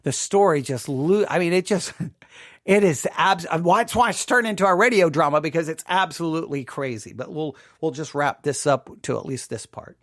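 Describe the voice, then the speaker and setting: A man's voice: fairly high pitch, expressive, normal speed, fairly bright tone, dry recording, clean studio sound. A man hosting a podcast with informal personal commentary, emphatic, often repeating and rephrasing himself. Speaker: podcast host.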